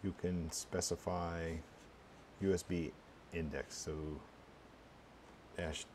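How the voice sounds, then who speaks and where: Low-voiced man thinking aloud. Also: fairly even intonation, a little mellow, slight background noise. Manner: halting, unscripted, muttering through steps. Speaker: tutorial presenter. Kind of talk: casual screencast walkthrough, thinking aloud.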